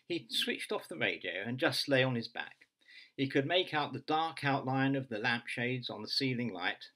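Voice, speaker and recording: A man's voice: mid-range voice, animated, normal speed, bright, forceful tone, rough recording. A man in his sixties reading expressively from his own book in a small room, at arm's length from the mic. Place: small bedroom, indoors.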